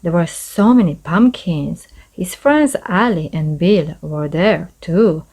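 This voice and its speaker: Slow, lower-pitched woman reading a storybook. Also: animated, a little mellow. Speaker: storybook reader. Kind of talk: children's read-aloud narration.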